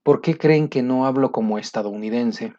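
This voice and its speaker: A middle-aged Latin American man giving an encouraging English lesson in a casual talking style, pausing often with fillers. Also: mid-range voice, warm tone. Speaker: English teacher. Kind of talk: motivational video lesson. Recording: muffled lo-fi recording.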